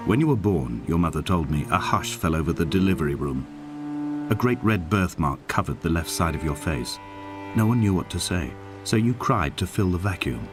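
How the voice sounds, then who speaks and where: An actor playing a solitary, melancholy middle-aged man, narrating in a measured, solemn, literary style for a game. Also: deep voice, lively intonation. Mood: tender, reflective. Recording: music behind the narration. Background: clear background music.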